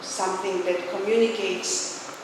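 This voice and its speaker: A Polish woman delivers a reflective religious speech in accented, halting English at an unhurried pace. Her voice comes from a distance in a very echoey hall, with clear background noise. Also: mid-range voice, steady tone. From Poland. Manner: halting, searching for words in non-native English. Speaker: religious leader, speaker. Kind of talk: informal closing speech with spiritual reflection. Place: large echoey hall, speaking to a gathering. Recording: echoey hall from far off, noisy.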